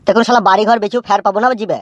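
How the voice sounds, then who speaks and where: A man's voice: sits high, fairly even intonation, racing, dry recording, dull audio, lightly acted. An actor voicing an anxious, scolding old cartoon father with a rural West Bengal Bengali accent, nagging and repeating words. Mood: worried, pleading. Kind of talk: comic cartoon dubbing.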